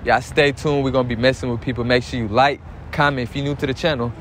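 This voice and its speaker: A man's voice: mid-range voice, fairly even intonation, fast talker, a bit bright, slight background noise. A young American man talking casually and in slang as the host of a prank video. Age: young adult. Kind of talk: casual slangy vlog talk and prank banter. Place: hardware store and its car park.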